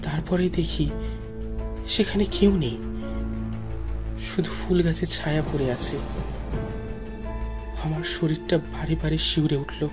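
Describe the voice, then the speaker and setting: Male narrator, fairly high voice, dramatic first-person horror storytelling, prominent background music. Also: steady tone, slow pace, pauses often. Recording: music behind the voice.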